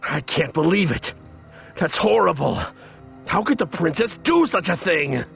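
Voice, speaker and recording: Very high, creaky indignant townsman. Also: sing-song, measured pace, a bit bright, faint music behind, heavily acted. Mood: appalled. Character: shocked, credulous townsperson, indignant at betrayal. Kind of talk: dramatic game voice acting.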